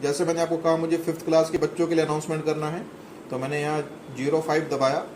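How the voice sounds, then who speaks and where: Higher-voiced man explaining a gadget. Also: flat delivery, fast talker, background noise. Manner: plain explanatory, step by step, some hesitations. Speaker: product demonstrator. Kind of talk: product walkthrough demo.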